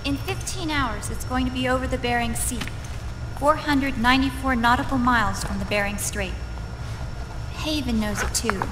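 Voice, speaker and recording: A woman's voice: high-pitched, normal speed, background noise, lightly acted. Actress as a composed woman officer in a reverberant hall. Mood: businesslike. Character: composed female military officer briefing a strike team. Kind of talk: scripted military briefing in a game cutscene. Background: loud dramatic music.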